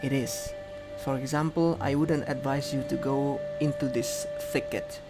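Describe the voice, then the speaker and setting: A man's voice: medium pitch, fairly even intonation, unhurried, loud music behind the voice, natural voice. Man reading as a blunt, bossy young woman. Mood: frank.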